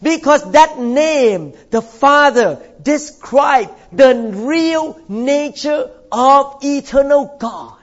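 A man's voice: very high-pitched, lively intonation, lo-fi sound. An older man from Thailand preaching a sermon in a church, stretching out key words for emphasis. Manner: emphatic, drawn-out stresses. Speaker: pastor.

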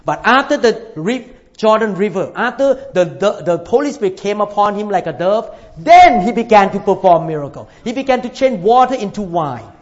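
A man's voice: high voice, lively intonation, moderate pace, hardly any pauses. A man, from Thailand, preaching a sermon as a pastor, emphatic and repeating his points while calling for amens from the congregation. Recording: dull lo-fi recording.

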